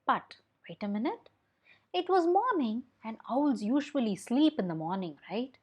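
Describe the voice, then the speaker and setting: A young woman with an Indian accent telling a picture-book story to children, explaining as she goes and asking questions in an expressive way. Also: a little high, moderate pace, slightly rough. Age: young adult.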